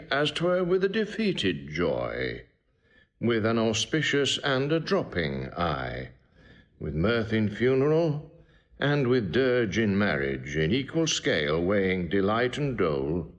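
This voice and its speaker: A stately, smooth-talking king in classical stage drama. His low voice rises and falls widely as he delivers measured, formal oratory. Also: big pitch swings. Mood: formal, commanding. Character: regal, smooth-talking king, new to the throne.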